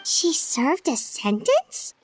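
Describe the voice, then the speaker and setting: A woman's voice: high voice, huge pitch swings, takes it slowly, a little hiss or hum, exaggerated voice, poor audio. A woman voicing a small, childlike, chirpy floating fairy companion in a video game. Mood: curious. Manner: speaks of self in third person, chirpy.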